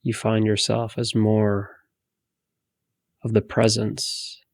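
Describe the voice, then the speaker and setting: Slow, gravelly man guiding meditation. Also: on the low side, completely flat delivery, dry recording. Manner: soothing, hushed, unhurried instruction with long pauses. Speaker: meditation guide. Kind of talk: guided meditation.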